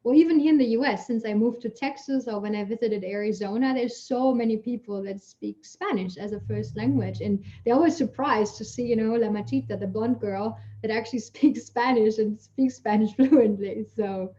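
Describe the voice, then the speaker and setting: Chatty woman, muffled mellow call audio. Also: on the high side, normal speed, hardly any pauses. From Germany. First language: German. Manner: lively storyteller, chatty with many fillers, laughs while talking. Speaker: guest scientist. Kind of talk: casual Q&A answers.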